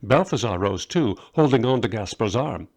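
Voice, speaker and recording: A man's voice: fairly low pitch, animated, moderate pace, a little mellow. A man reading his own short story aloud as a scripted narration.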